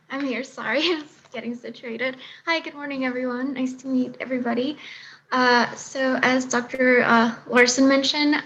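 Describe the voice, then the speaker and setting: A woman's voice: high-pitched, rough recording. A young woman from Mexico introducing herself as a science fellow at a public meeting over a video call, chatty and laughing easily. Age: young adult.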